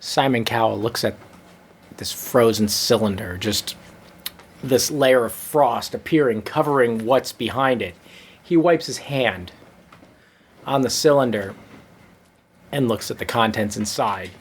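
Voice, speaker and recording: A man performs a comic story as a reader. His delivery is very slow and dramatic, drawing phrases out like noir narration, in a mid-range voice. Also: medium pitch, a little hiss or hum. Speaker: comedy storyteller. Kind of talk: live reading of a comic story.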